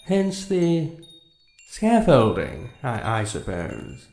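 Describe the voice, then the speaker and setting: A man's voice: mid-range voice, huge pitch swings, very slow, vocal fry, darkish tone, roomy sound, heavily acted. An actor playing a vague, bumbling middle-aged king in a comedy audio drama, hesitant, stammering and rambling. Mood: dithering, apologetic.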